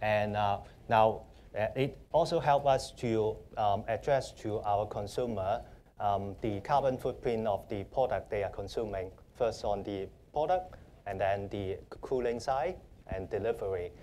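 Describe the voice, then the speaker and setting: A young man from Hong Kong with a Hong Kong accent, speaking as a panelist in a conference hall, halting and full of fillers. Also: fairly low pitch, warm tone, a little hiss or hum. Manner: halting, many fillers and restarts. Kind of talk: panel discussion.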